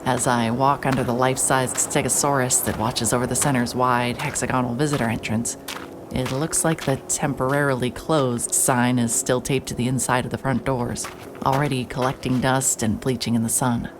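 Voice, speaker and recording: A woman playing a hard-hearted, stubborn private investigator in an audio drama, narrating in a wry, terse, deadpan way. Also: a bass voice, moderate pace, noisy background. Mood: wry, determined. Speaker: narrator and lead actor.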